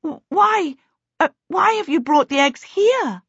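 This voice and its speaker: A woman's voice: medium pitch, slow pace, fairly bright tone, husky, no room sound, pitched above the performer's own voice. A woman voicing a proud, prim teenage girl with a haughty, clipped way of speaking. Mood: shaken. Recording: dull, dry sound.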